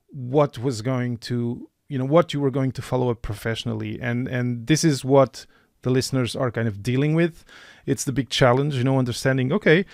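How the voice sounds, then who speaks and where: A man hosting a podcast interview, speaking in a halting, encouraging way with many restarts. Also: mid-range voice, darkish tone, no room sound, studio quality. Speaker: podcast host.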